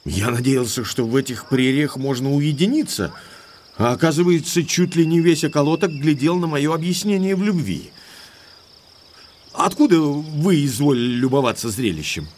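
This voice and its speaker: An actor playing an indignant, lovestruck young English baronet in an audio drama, speaking emphatically like an exasperated gentleman. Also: fairly high pitch, animated, normal speed, slight background noise, close to an everyday voice. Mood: indignant, sardonic.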